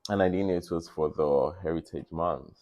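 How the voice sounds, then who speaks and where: A host leads a relaxed interview show in a very deep, low voice. The host talks at length, tells stories and laughs often along the way. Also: normal speed. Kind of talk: casual interview chat.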